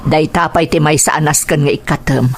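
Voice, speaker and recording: Low-voiced, long-suffering Ilocano mother. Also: fairly even intonation, moderate pace. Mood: worried, scolding. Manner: concerned, gently scolding. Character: caring, long-suffering mother and nagging wife. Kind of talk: Filipino radio drama acting.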